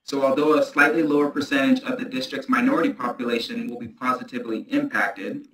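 Bright-voiced man presenting over video call. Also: medium pitch, fairly even intonation, echoey room, slight background noise, poor audio. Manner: measured, procedural, restarts often. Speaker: transit agency staff presenter. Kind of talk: formal presentation at a board meeting.

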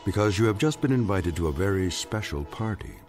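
A man narrating a radio drama in a grave, measured, ominous manner. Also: sits low, lively intonation, a little mellow, faint music behind.

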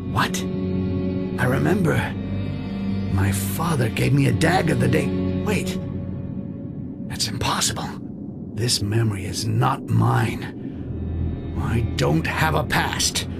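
An actor playing a cynical, foul-mouthed goblin rogue in a video game, with a gravelly, sardonic mutter. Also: male voice, mid-range voice, full character voice. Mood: angry confusion. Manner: muttering to himself, sardonic, swearing. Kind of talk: dramatic video game acting.

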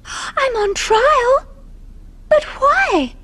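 A woman playing a polite, plucky young girl in an animated video game, prim and earnest. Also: very high voice, drawn-out words, heavily acted. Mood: bewildered.

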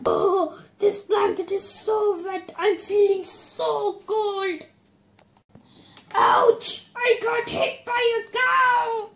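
A woman voicing a cunning, bossy elder brother puppet with a childlike, deliberate manner. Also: very high-pitched, fairly even intonation, gravelly, a bit bright, roomy sound, exaggerated voice. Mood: complaining.

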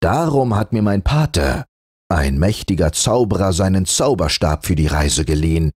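A man voicing a gentle young prince in a fairy tale who speaks calmly and reassuringly. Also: deep voice, moderate pace, breathy edge, dry recording, clean studio sound. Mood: tender. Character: tender young prince, noble and calm.

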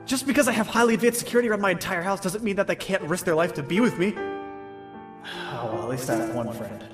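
A man's voice: sits high, animated, a little fast. A man voicing a lonely, self-pitying teenage boy who mopes, in a cartoon parody. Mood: moping. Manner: moping. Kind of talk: fan parody cartoon dub. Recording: slightly distant, under loud piano music.

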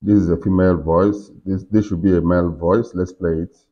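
A man in his forties hosting a casual software walkthrough, talking himself through each step. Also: deep voice, steady tone, a little fast. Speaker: tutorial host. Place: indoors in a furnished room. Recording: slightly dull, lo-fi sound.

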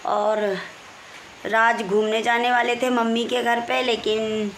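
A hoarse woman in her forties with a Kanpur Hindi accent, voice fairly high and husky, talks on and on into a phone held close. She is in a small room at home and clears her throat often, with faint noise behind her. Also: little pitch movement, slow. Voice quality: husky, strained voice. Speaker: vlogger. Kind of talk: casual home vlog. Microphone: phone camera held close, selfie framing.

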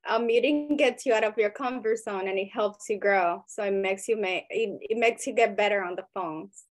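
Expressive young Cuban-accented woman. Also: medium pitch, normal speed. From Cuba. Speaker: guest sales broker. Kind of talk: casual chat on a video call.

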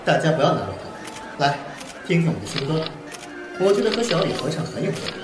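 男声演绎语调起伏、温和大度的当红男歌手. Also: male voice, a little high, sing-song, brisk, music in the background. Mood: composed, magnanimous. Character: established male pop singer, gentle and forgiving in public. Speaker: voice actor. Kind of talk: amateur Chinese radio drama acting.

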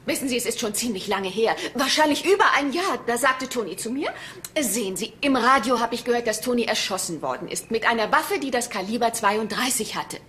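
A woman's voice: on the high side, animated, brisk, a bit bright, a little hiss or hum. An actress playing a naive, chatty woman angling for a reward in a German crime radio play, rambling and ingenuous. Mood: excited, speculating.

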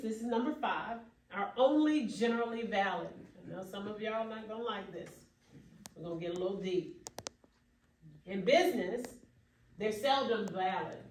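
A young adult woman delivers an emphatic keynote in a fairly high voice. Her phrasing is preacherly and builds to exclamations. She is caught by a camera far back in a large, echoey hall, with faint room noise behind her. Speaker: keynote speaker. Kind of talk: motivational keynote speech.

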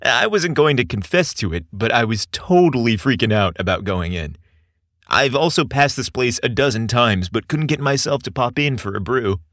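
A man voicing a shy, awkward young man who speaks softly and bashfully. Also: medium pitch, expressive, moderate pace, no echo, studio quality, lightly acted. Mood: relieved. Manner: soft-spoken and bashful.

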